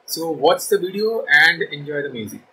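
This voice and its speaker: A man's voice: fairly high pitch, lively intonation, normal speed, music in the background, poor audio. A man, presenting to camera as a channel host in a small room. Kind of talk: video introduction to camera. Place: small furnished room indoors. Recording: clear background noise.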